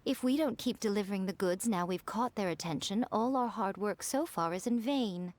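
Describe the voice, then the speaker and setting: A woman's voice: medium pitch, moderate pace, darkish tone, close to an everyday voice. A woman voicing a sly, elegant fox-spirit lady in a video game, self-assured and teasing, with a poised, condescending way of talking. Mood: amused, approving. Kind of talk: video game character voice acting. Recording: background music.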